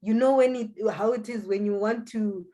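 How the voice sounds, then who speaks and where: A woman's voice: on the high side, moderate pace, warm tone. Zimbabwean woman, hesitant conversational guest. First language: Shona. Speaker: interview guest, language school founder. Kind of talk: conversational personal account.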